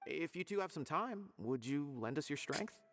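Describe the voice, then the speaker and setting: An actor playing a polite, earnest male investigator in a video game, explaining things in a measured way. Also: on the high side, lively intonation, a bit bright, faint music behind.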